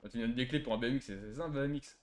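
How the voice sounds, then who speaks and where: A man plays an obtuse male customer in a game roleplay, talking extremely fast at an ordinary pitch. He haggles insistently and picks at every detail. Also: natural voice. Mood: nitpicking complaint. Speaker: GTA roleplay player trolling. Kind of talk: improvised online game roleplay.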